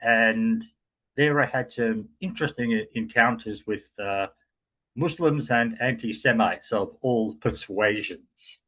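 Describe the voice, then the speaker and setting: A man with an Australian accent giving an explanatory talk as guest speaker, measured and with frequent fillers. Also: fairly low pitch, fairly bright tone, rough recording. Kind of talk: lecture-style talk.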